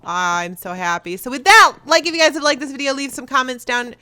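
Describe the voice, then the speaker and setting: A young woman hosting a video, talking loud and fast in casual chat as she answers viewer questions while playing a game. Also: sits high, lively intonation, smooth. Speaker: YouTuber host.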